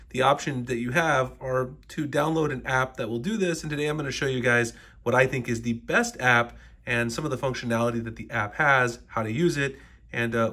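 A man's voice: medium pitch, a bit bright. An American man hosting a casual step-by-step tech tutorial in a conversational, explanatory way. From United States. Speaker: tech reviewer, tutorial host.

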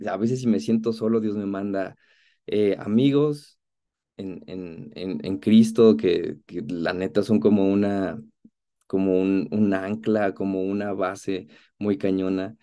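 A man of about thirty with a Mexican accent, giving an earnest, conversational personal testimony in a halting way over a video call. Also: lowish voice, fairly even intonation, warm tone. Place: indoor room at home, on a video call.

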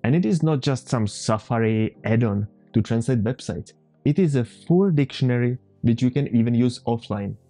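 A man in his thirties with a Czech accent, calmly walking viewers through a computer tutorial step by step as the channel's host. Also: mid-range voice, moderate pace, soft background music. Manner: calm, methodical step-by-step explaining. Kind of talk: screen-recorded software tutorial. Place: home room, indoors.

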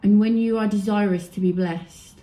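A woman's voice: medium pitch, fairly even intonation, moderate pace, warm tone, lightly acted. A woman playing an accusing, righteous young royal in Shakespearean audio drama, with intense, admonishing verse delivery. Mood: stern pleading. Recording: roomy, echoing home recording.

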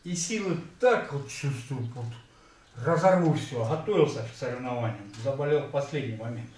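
A man's voice: medium pitch, lively intonation, moderate pace, darkish tone. A man in his fifties resting between lifts in a home gym, telling a story plainly and giving short replies. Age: 50s. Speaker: trainee. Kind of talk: casual chat between sets. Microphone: camera across the room. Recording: echoey room from far off, faint background noise.

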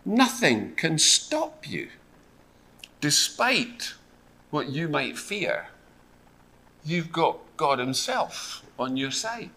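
A man's voice: fairly high pitch, sing-song, extremely slow, pauses often, sharp tone. A man in his fifties preaching in a conversational storytelling style with wry asides and rhetorical questions. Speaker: church preacher. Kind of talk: sermon with storytelling and acted-out dialogue. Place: church hall, speaking to a seated congregation. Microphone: lectern microphone and lapel mic.

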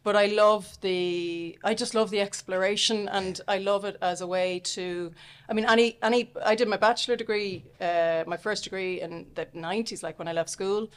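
A woman in her forties with a Dublin Irish accent speaks in a mid-range voice, thoughtful and self-deprecating. She often pauses on fillers and restarts her sentences. She is recorded close on a studio microphone in a small room. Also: medium pitch, steady tone, clear, resonant tone. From Dublin, Ireland. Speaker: podcast guest. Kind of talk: casual interview chat. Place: small podcast studio, indoors. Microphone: studio mic on a stand close up.

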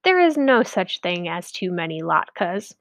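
A woman's voice: lowish voice, big pitch swings, unhurried, no echo. A woman voicing a confident, sage village rabbi from a storybook, pronouncing advice with assurance.

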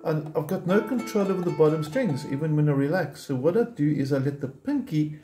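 Male ukulele teacher, mellow tone, patient and methodical, explains while he plays. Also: a little high, animated, brisk, soft background music. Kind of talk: step-by-step instrument tutorial.

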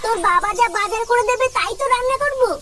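Man putting on a very shrill, protective cartoon mother. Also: fast, over music. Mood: chiding. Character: protective, reproachful mother and wife of the household. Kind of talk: comic cartoon dialogue.

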